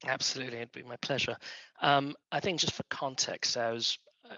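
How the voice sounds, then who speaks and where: Middle-aged man with a bright, creaky voice. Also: medium pitch, brisk, no echo, highs cut off. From Malaysia. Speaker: panelist. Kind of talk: personal reflection in a panel discussion.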